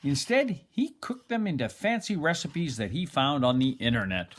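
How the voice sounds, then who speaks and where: An older man reading a children's picture book aloud expressively, like a grandfather, with dramatic pauses. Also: on the high side, sing-song, a little hiss or hum.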